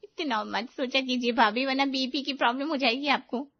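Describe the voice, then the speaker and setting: A breezy young sister-in-law of college age in an animated family tale played by a woman. Her voice is very high. The recording is dry and a bit lo-fi. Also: normal speed, dry recording. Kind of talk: Hindi animated family moral story.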